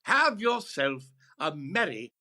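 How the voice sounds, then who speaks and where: An actor playing a showy department store announcer giving a formal introduction in a radio comedy. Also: male voice, a little high, big pitch swings, slow pace. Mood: grand, welcoming.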